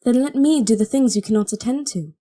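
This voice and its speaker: A woman's voice: a little high, brisk, mellow, a little hiss or hum, natural voice. A woman playing an earnest, devoted young princess in an audio drama, speaking articulately. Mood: earnest, pleading. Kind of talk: audio drama acting with first-person narration.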